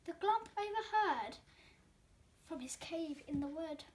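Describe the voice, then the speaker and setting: A young-sounding female reader presenting a children's storybook with expressive narration. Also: very high voice, unhurried.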